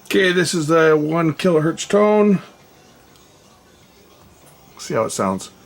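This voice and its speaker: A man's voice: fairly high pitch, very animated, slow pace. A man giving casual, hands-on commentary as a repair technician, informally muttering to himself as he works. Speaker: repair technician presenting his own video. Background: music playback, guitar.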